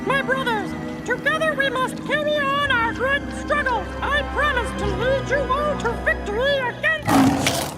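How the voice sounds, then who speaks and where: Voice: very high voice, measured pace, long pauses, rough recording. An actor playing a grandiose little cartoon alien with a declamatory way of speaking. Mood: fervent. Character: tiny grandiose alien rallying his brothers. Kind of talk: cartoon acting. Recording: loud music behind the voice.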